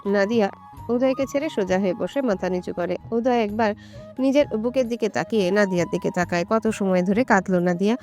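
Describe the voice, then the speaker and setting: A woman's voice: mid-range voice, a little fast, darkish tone. Woman narrating a romantic story over music.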